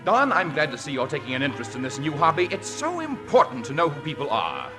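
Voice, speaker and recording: A man playing a fussy, self-important society gentleman in affected old-time radio style, on a vintage broadcast recording. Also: a little high, wildly swooping pitch, quick pace, music in the background. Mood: earnest, coaxing. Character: fussy, snobbish Fifth Avenue society gentleman.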